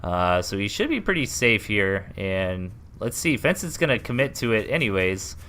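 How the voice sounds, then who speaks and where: Lively, bright-voiced male game caster. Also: on the low side, moderate pace. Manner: upbeat, chatty play-by-play with frequent fillers. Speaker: host caster. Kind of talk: live esports game commentary.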